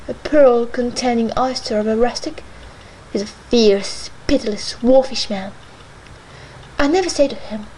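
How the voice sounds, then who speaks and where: Brief: impatient, scolding mistress, voiced by a woman reader, high and forceful. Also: slight background noise, lightly acted, rough recording. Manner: emphatic, scolding. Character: proud young mistress, sharp-tongued, impatient.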